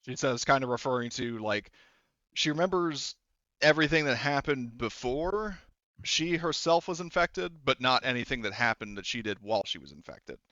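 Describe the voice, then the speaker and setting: A man narrating a tabletop game as game master, casual, improvised and rambling, with halting delivery. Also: mid-range voice, animated, sharp tone, no room sound, highs cut off.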